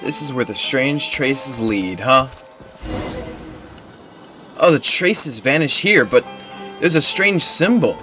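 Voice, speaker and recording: A man doing casual game commentary, with a pitch that moves around a lot. Faint music plays behind his voice. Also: animated, takes it slowly, pauses often, sharp tone. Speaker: video game streamer. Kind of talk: casual let's play commentary.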